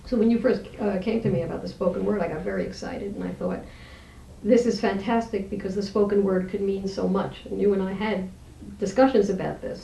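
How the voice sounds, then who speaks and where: A woman's voice: medium pitch, steady tone, fairly quick, vocal fry, dark tone, a little hiss or hum. A woman professor as an interview guest, speaking in an articulate, earnest, explanatory way. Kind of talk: thoughtful interview conversation. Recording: roomy, distant microphone.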